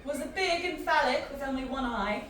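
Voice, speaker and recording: A woman's voice: high voice, expressive, brisk, a bit bright. A woman playing a frank, irreverent young woman in a sci-fi audio drama, talking in a casual, wry, confessional way. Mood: wry, curious. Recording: very echoey, distant, clearly noisy.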